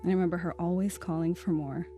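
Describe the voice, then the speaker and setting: Woman playing addicted daughter in a radio play, confessional, lowish voice, guitar music under it. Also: fairly even intonation, fast talker, mellow, close to an everyday voice. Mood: somber, reflective. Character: addicted daughter recalling a troubled childhood, guarded, confessional. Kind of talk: confessional monologue in audio drama.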